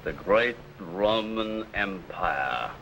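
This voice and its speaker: An actor playing a ruthless, boastful barbarian warlord in a 1950s TV serial, speaking with grand, menacing pauses. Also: male voice, sits low, expressive, a bit bright, a little hiss or hum, full character voice, rough recording.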